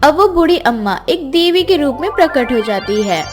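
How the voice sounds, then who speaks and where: A woman's voice: high-pitched, big pitch swings, moderate pace. A woman narrating a scripted children's fairy tale as storyteller. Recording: music behind the voice.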